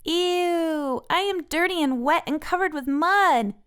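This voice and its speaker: A woman's voice: extremely high pitch, takes it slowly, a bit bright, pitched above the performer's own voice, full character voice. A woman voicing a fussy, squeamish little girl who complains about dirt in a children's storybook. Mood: whiny, upset.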